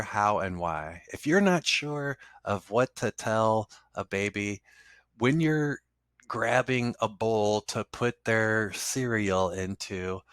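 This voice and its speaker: A man's voice: on the low side, a bit bright, no room sound. A man teaching a course over slides, talking loosely and conversationally, with fillers and restarts. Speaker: instructor. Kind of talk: casual lecture over slides.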